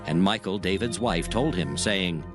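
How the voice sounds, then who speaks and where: A man's voice: deep voice, moderate pace, over music. A man narrating a dramatized scripture reading in solemn, formal archaic phrasing.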